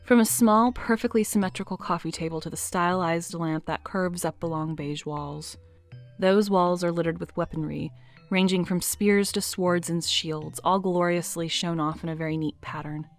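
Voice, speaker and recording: A woman reading scripted narration for an audio drama, in a lively, expressive way. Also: on the low side, normal speed, soft background music.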